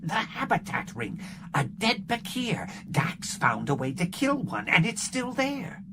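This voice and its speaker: A man voicing a greedy, excitable male Ferengi barkeep in an audiobook, with a high, nasal, whiny voice. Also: a little slow, noisy background, full character voice. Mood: eager. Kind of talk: audiobook character reading.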